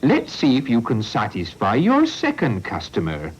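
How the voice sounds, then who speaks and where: A man's voice: mid-range voice, huge pitch swings, normal speed, husky. A man voicing a kindly, shrewd older farmer with a hearty, fatherly manner, on a lo-fi storybook recording. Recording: lo-fi old recording.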